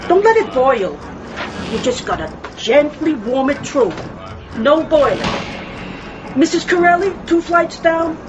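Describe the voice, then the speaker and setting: An actress playing an elderly, chatty mother in a radio drama, rambling and gently scolding as she reminisces. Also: high voice, very animated, measured pace. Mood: wistful, teasing. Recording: dull, noisy radio play recording.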